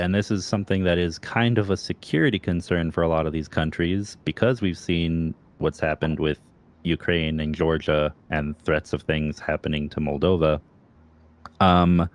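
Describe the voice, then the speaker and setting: Very deep-voiced male interview host. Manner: long explanatory answers with frequent fillers. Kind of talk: casual video-call interview.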